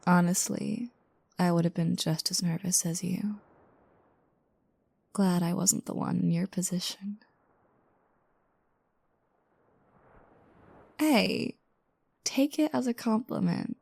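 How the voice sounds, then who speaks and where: A woman playing a playful, teasing new girlfriend just waking up, speaking softly and intimately in a roleplay audio. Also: fairly low pitch, no room sound, heavily acted. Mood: sincere, reassuring. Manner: soft, breathy asides, teasing, unhurried.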